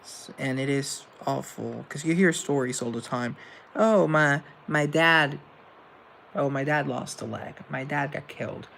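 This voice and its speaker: Young male live host with a gravelly voice, earnest and rambling, over faint noise. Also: mid-range voice, unhurried, a bit bright. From Colombia. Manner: rambling, earnest, with self-deprecating asides. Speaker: live show host answering chat. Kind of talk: casual live-stream chat, reading comments aloud.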